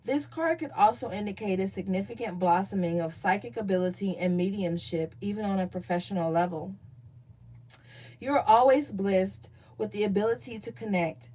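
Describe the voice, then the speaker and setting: A woman giving a casual tarot reading, chatty and profane, reading card meanings aloud more calmly. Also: mid-range voice, normal speed, rough recording. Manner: loose, profane, chatty asides. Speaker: tarot reader.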